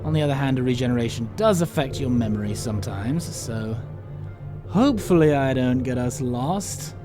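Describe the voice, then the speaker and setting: A man's voice: mid-range voice, animated, normal speed, music in the background, close to an everyday voice. A man playing an eccentric, flippant alien time traveller in an amateur audio drama, with a playful, muttering manner.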